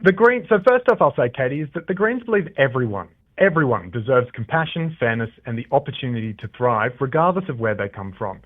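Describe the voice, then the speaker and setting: A man's voice: mid-range voice, very animated, brisk, few pauses. A man, an election candidate, answering interview questions on the radio, stumbling and reading prepared talking points. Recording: tinny phone line.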